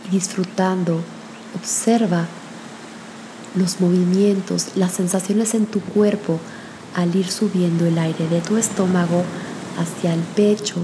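A woman leading a guided spiritual meditation in a gentle, soothing manner with long pauses. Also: on the low side, background noise.

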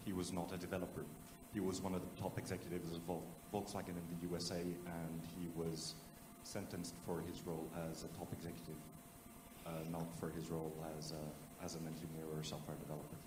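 Deep monotone guy making a point from the audience, echoey and noisy. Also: brisk. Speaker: audience member. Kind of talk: spontaneous comment from the audience. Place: conference hall audience. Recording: echoey hall with clear background noise.

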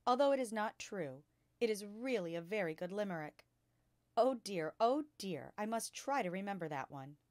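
A woman's voice: mid-range voice, lively intonation, moderate pace, darkish tone, no room sound, natural voice. Woman reading as a kind young schoolteacher lady, encouraging questions, storybook style, close dry recording. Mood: pleased, curious. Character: kind, gentle young female primary school teacher.

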